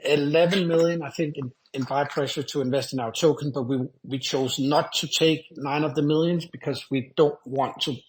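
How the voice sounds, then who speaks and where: Higher-pitched upbeat male panelist. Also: steady tone, moderate pace, few pauses, low-quality recording. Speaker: panel guest, company founder. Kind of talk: online audio panel discussion.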